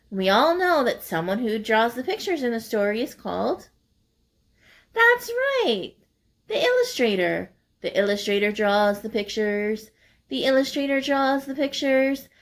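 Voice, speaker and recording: A woman's voice: fairly high pitch, big pitch swings, unhurried. A woman reading a picture book aloud like a teacher, in a sing-song, explaining way for young listeners.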